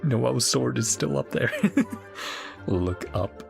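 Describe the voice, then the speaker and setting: Chrapliwy facet komentujący grę. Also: male voice, medium pitch, expressive, takes it slowly, creaky. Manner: chatty, laughs often, reads lines aloud. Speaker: game reaction streamer. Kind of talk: casual game reaction commentary. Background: game music and dialogue.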